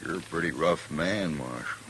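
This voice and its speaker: A man's voice: extremely low pitch, moderate pace, fairly bright tone, poor audio. An actor playing a cocky, sneering American western gunman, a blustering bully who goads and taunts in 1950s radio drama style. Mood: needling. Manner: sneering, goading, drawling. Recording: 1950s radio recording with background hiss.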